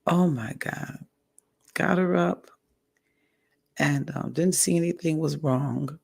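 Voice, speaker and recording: A woman with a deeply raspy, gravelly voice talks slowly and haltingly, pausing often to clear her throat. Her voice is husky and strained from hoarseness as she gives a candid personal update to her audience. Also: a little mellow. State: hoarse, recovering from a stroke. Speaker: vlogger. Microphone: audio only, home recording.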